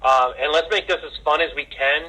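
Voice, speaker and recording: Bright, raspy man on a conference call. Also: fairly high pitch, a little fast. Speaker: retail manager leading a staff conference call. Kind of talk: informal work briefing. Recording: tinny, radio-like conference phone line.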